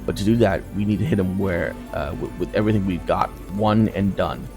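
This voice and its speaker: Man reading as a blunt, low-voiced soldier. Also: fairly even intonation, a little fast, gravelly, over music. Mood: resolute. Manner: colloquial, blunt. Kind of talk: game dialogue read aloud.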